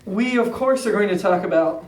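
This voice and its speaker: An American man with a high voice for a male, speaking as a podcast host and interviewer. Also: moderate pace. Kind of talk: podcast announcements and chatty interview.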